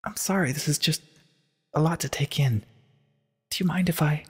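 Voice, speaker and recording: A breathy young female pony student, played by a man, pitched well above his own voice. She sounds shaken and pleading, speaking haltingly in a storybook reading with long reverb. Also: a little mellow. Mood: overwhelmed, subdued.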